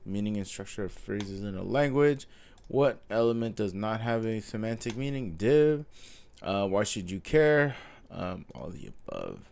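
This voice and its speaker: A man's voice: lowish voice, big pitch swings, measured pace, gravelly, a little mellow. Stuffy-nosed man reading coding lessons. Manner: reads course text aloud with fillers and self-corrections. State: stuffy from a cold. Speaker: self-taught coding vlogger. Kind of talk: casual follow-along reading and thinking aloud.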